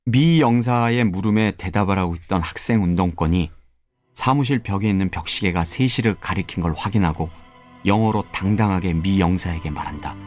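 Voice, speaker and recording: A man narrating a radio drama in an even, descriptive storytelling manner, with music underneath. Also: low voice, fairly quick.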